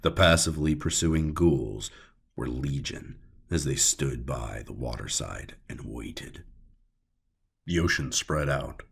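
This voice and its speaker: A man narrating a dark audiobook tale in a gravelly, raspy voice, brooding and measured, with deliberate pauses. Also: rumbling low. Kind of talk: dramatic scripted horror narration.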